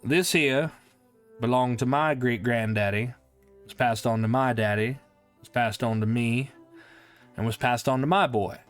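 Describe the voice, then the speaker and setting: A slow, drawling old western man with a folksy, grave way of speaking, as a man puts him on. The voice stays mid-pitched and unhurried. Soft background music plays faintly under it. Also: lightly acted. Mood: solemn.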